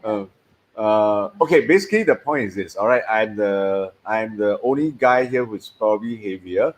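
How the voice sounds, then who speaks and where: A man's voice: lowish voice, expressive, normal speed. A man, hosting a casual stream and rambling with lots of fillers and restarts. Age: 50s. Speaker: host. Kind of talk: casual live-stream chat.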